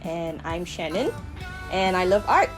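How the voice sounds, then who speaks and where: A woman answers interview questions softly and hesitantly, often murmuring agreement. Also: lowish voice, animated, measured pace, music in the background, rough recording.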